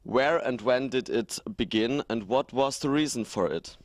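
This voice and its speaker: A man's voice: mid-range voice, moderate pace, slight background noise. A young man from Germany asking prepared questions in an outdoor student interview, reading plainly. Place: outdoors in front of a museum.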